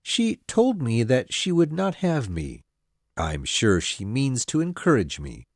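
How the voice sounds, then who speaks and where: A woman voicing a pompous, self-satisfied young male clergyman who proposes, speaking in a slow, smug, insistent way. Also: extremely low pitch, very animated, dry recording, studio quality, pitched below the performer's own voice, exaggerated voice. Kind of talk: storybook character voice.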